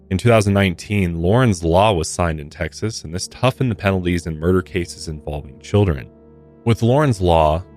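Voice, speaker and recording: A man with an American accent narrating true crime as a podcast host, with casual commentary mixed in. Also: deep voice.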